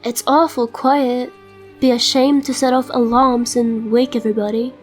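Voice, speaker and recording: Woman playing a scruffy, gruff would-be hero thief. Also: on the high side, flat delivery, normal speed, faint music behind, natural voice. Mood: musing, self-satisfied. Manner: colloquial slurred vernacular with broken grammar. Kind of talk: comic fantasy dub.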